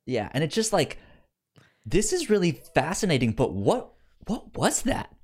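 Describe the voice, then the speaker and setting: Male co-host for casual discussion show. Halting, repeats words, highly animated pitch. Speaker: podcast co-host.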